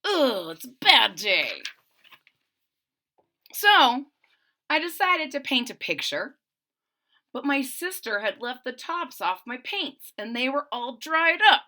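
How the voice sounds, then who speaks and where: A woman reading a picture book aloud to children, expressive and chatty, adding friendly asides between the pages. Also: high voice, slow pace, long pauses. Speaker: storybook reader.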